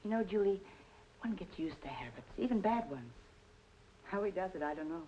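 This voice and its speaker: Woman playing a wry, quick, swooping noir dame. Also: fairly low pitch, animated, mellow, dull audio, a little hiss or hum, close to an everyday voice. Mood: knowing, resigned. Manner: teasing, knowing, sardonic. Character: wry, worldly, good-natured woman in a noir drama. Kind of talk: 1940s-50s film noir radio drama acting.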